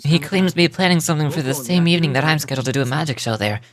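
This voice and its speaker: A man's voice: fairly high pitch, very fast, over music, close to an everyday voice. A man voicing a polite young stage magician, composed and proud, with a courteous theatrical delivery. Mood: composed, explanatory.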